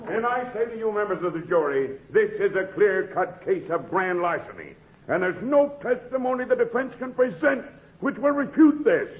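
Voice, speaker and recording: Stern, declamatory vintage-radio prosecutor. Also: male voice, high-pitched, sing-song, normal speed. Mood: formal. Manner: formal, declamatory, impatient. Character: stern, formal male courtroom prosecutor. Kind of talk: 1940s radio comedy acting. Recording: muffled, noisy old radio recording.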